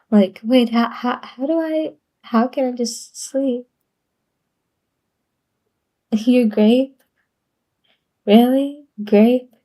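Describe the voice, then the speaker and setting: Slow, giggly woman streamer. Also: medium pitch, dark tone. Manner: giggly, chatty, laughs often between phrases; rambling with frequent repeats and restarts. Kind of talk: casual live-stream Q&A chat.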